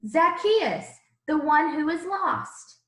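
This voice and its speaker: Woman reading as gentle, high-voiced storybook Jesus. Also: expressive, slow pace, exaggerated voice. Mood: gentle, earnest. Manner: rhythmic rhyming storybook delivery. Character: gentle, earnest Jesus narrating his own storybook tale. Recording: roomy, echoing room sound.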